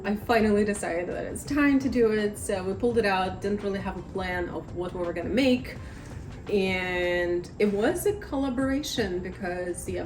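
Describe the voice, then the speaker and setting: Chatty woman, slightly raspy voice. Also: mid-range voice. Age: 30s. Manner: chatty, rambling, self-deprecating. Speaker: vlogger. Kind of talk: chatty sit-down vlog. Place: home, at a table.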